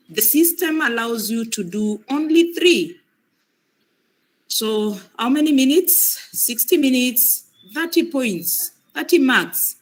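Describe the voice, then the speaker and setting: A woman's voice: a little high, poor audio. A woman with a cold and a breaking voice, patiently guiding students through an online exam, often repeating her points. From Kenya. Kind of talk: live training demonstration.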